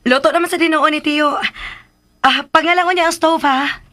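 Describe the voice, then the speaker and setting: Dutiful, gentle young housemaid for Cebuano-accented radio drama; high register, placating delivery. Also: female voice, steady tone, moderate pace. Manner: patient, placating. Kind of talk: Philippine radio drama acting.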